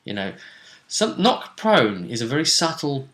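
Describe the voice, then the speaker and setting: A man's voice: mid-range voice, very animated, unhurried, fairly bright tone. A man, recapping a tabletop game session as game master in a wry, chatty way. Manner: chatty, wry, jokes about the fight. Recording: echoey room.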